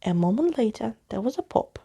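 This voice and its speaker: A woman reads a children's story in a soft-spoken ASMR style. Her voice is husky and mellow, with a very heavy creak. She tells it expressively, like a bedtime storyteller who acts out each character. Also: a little high, sing-song, fairly quick. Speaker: storyteller reading a children's book.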